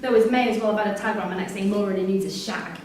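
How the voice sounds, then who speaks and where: An actress in a live staged reading playing a disillusioned, confrontational prison worker with an emphatic, pointed delivery. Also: female voice, mid-range voice, rapid-fire, darkish tone, close to an everyday voice. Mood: wry, bitter. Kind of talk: live theatre acting. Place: theatre performance space in Salford. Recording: echoey hall, distant mic, faint noise.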